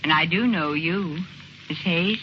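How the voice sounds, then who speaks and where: An actress playing a sly, pushy blackmailer in vintage radio drama style, pointed, self-assured and coolly threatening. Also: female voice, low-pitched, a little slow. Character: sly, pushy female blackmailer, ambitious and cool. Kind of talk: 1940s radio acting. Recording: muffled, noisy old radio recording.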